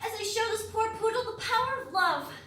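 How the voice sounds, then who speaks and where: Very high, bright little porcupine, played by a teenage girl. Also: animated, fairly quick, exaggerated voice. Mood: brave, determined. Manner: earnest, polite. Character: small, kind, earnest female porcupine. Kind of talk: readers theater stage performance. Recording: echoey hall, distant mic, faint noise.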